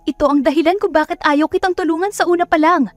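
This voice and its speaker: A woman acts out a spiteful young woman with a very high, shrill voice. She is haughty and accusing, scolding in the heated manner of an audio melodrama. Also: fast, no echo, faint music behind. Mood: furious, accusing. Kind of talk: dramatic audio story acting.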